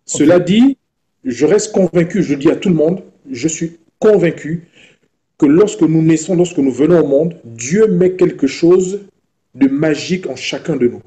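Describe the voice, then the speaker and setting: A man in his thirties from Gabon, speaking as an interview guest in a measured way with frequent hesitations. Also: fairly high pitch, expressive, warm tone. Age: 30s. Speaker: entrepreneur guest. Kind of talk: interview answers.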